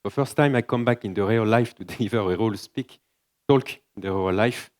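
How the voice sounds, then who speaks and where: A man's voice: fairly low pitch, brisk. A man from France with a French accent, speaking English as a second language, giving a conference keynote with frequent fillers and restarts. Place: large conference hall stage.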